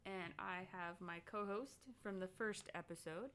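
A woman's voice: mid-range voice, steady tone, unhurried, fairly bright tone. Giggly rambling woman podcast host. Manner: giggly, rambling, trails off into side stories. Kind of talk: casual chat. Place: home office.

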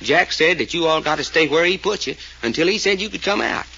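A muffled old radio serial recording of a folksy Southwestern cowboy sleuth. His drawl is bright and rather high, swinging up and down as he puzzles things out. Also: lively intonation, brisk, vocal fry, slightly rough, full character voice. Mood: firm, folksy. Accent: American South-western. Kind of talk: 1940s radio serial acting.

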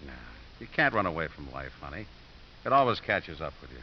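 A man's voice: very deep voice, very animated, fairly quick. A man playing a tough but tender coach and father in a 1950s radio drama, colloquial, fatherly and American. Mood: gentle firmness. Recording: hissy muffled 1950s radio recording.

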